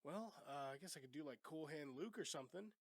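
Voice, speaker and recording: A man's voice: on the high side, animated, fast, no echo. Congested man hosting a movie podcast. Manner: laid-back and chatty, swears casually. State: congested, sick with a cough. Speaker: podcast host. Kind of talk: casual movie podcast chat. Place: home podcast studio. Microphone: studio microphone.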